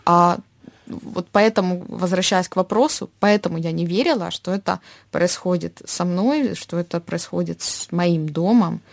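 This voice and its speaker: Young woman with slightly creaky voice. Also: fairly low pitch, moderate pace, dry recording. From Dnipro, Ukraine. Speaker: interviewee. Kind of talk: personal account in an interview.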